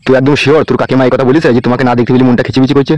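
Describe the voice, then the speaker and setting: Hot-tempered cartoon village boss played by a man: mid-pitched, very fast, blunt. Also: steady tone, darkish tone, dry recording, highs cut off, close to an everyday voice. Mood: suspicious questioning. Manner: blunt, interrogating, bossy. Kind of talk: comedy cartoon dialogue.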